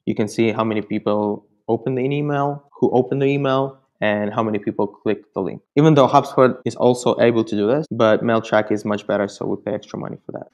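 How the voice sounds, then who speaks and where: A man's voice: on the low side, fairly even intonation, fairly quick, hardly any pauses, darkish tone, highs cut off. Man explaining as an interview guest. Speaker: guest expert from a tech company.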